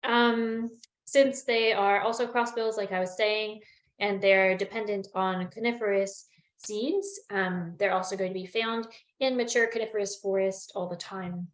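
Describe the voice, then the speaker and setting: A woman's voice: medium pitch, fairly even intonation, a little slow. Woman teaching birds over a video call. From Newfoundland. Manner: friendly, explanatory, many ums. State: losing her voice, clearing throat. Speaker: bird identification presenter. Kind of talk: online webinar lesson. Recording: echoey room on a video call.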